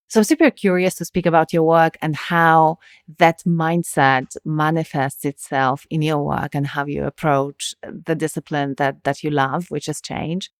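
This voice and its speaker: A woman's voice: sits low, no room sound, clean studio sound. A woman hosting a podcast, reading a scripted introduction and then asking interview questions in an articulate, enthusiastic way. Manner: enthusiastic, articulate, laughs easily. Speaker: podcast host.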